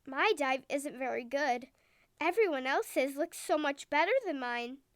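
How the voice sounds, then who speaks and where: A woman's voice: high voice, expressive, unhurried, no room sound, studio quality. A woman voicing an anxious, self-doubting young female whale in a bedtime story, timid and hesitant. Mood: worried.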